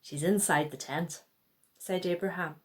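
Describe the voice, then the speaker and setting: A woman's voice: lowish voice, measured pace. A woman reading a children's Bible story aloud, expressively and with acted-out dialogue.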